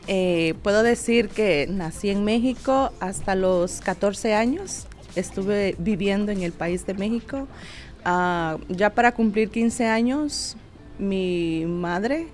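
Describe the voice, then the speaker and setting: A woman's voice: mid-range voice, darkish tone. A woman from southern Mexico, a religious sister chatting casually and a little hesitantly as a guest. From Oaxaca coast, southern Mexico. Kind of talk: casual, personal interview chat.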